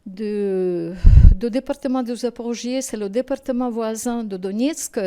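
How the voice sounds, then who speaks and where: A woman's voice: on the high side, mellow, smooth. Emotional Ukrainian-accented woman guest. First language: Russian. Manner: emotional, halting, searching for words. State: exhausted and sleepless. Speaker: studio guest. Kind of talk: television interview.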